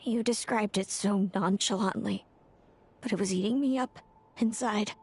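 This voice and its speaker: An actress playing a bitter, tormented fae older sister in a video game, speaking in a dramatic, wounded, confessional way. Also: female voice, medium pitch, moderate pace, a little mellow, breathy edge. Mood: regretful confession. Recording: music under the voice. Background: background music.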